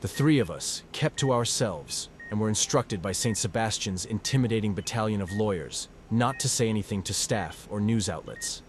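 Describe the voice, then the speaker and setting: A man's voice: lowish voice, animated, moderate pace, few pauses, slight background noise, natural voice. A man playing a shaken young medical student, narrating and acting in a horror audio drama in an earnest, somber way. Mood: grave. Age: young adult.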